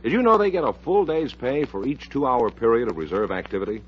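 An American man works as a vintage radio announcer, selling in a folksy, upbeat and polished way. His voice is quite husky and swings animatedly in pitch. The sound is a dull old radio transcription. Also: animated, fast talker. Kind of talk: 1950s radio recruitment pitch and show hosting.

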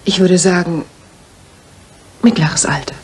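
An actress in a German radio drama playing an anxious young music teacher, polite, hesitant and breathy in places. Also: female voice, lowish voice, steady tone, a little slow, darkish tone. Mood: earnest, polite. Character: anxious young female music teacher seeking a detective's help.

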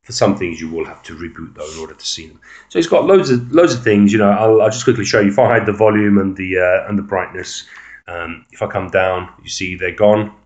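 A man with a low-pitched voice talks through a phone screen demonstration in a casual, unscripted style. He explains as an amateur reviewer would, in a hesitant, halting way. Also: muffled recording.

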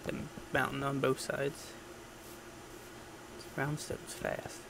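A man's voice: medium pitch, completely flat delivery, takes it slowly, gravelly, background noise. A man giving casual gameplay commentary in an offhand way, muttering to himself as he records an audio log. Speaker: gamer recording audio logs.

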